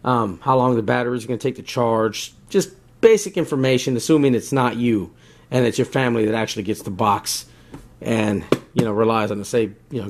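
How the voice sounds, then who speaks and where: A man's voice: medium pitch, darkish tone. A man talking casually and unscripted as he demonstrates gear and gives advice, often checking in with "right?" and "you know". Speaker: video host demonstrating radio gear.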